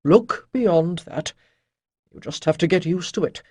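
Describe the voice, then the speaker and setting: Narrator doing wise old guy, patient, husky, kinda lecturing. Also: medium pitch, fairly even intonation, fast, a little mellow, dry recording, clean studio sound, lightly acted. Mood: kindly. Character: elderly wise male master, calm and kindly.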